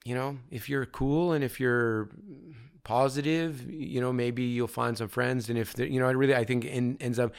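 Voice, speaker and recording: A man's voice: medium pitch, fairly even intonation, normal speed, gravelly, clear, resonant tone. A man speaking as an artist guest in an interview, thoughtful and rambling with many fillers, recorded in his studio. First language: not Czech; grew up in North America. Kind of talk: conversational interview.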